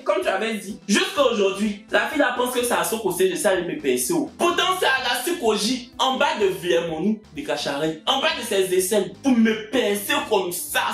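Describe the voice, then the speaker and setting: Animated Ivorian man telling a comic story. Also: sits high. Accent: Ivorian French. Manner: lively, acts out every voice in the story. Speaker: video creator. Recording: roomy echo, faint music.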